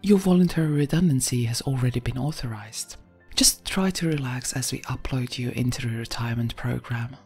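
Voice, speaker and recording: A woman voicing a calm, bureaucratic government consul in an audio story, with an even, polite, detached tone and a husky voice. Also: very deep voice, fairly quick, soft background music.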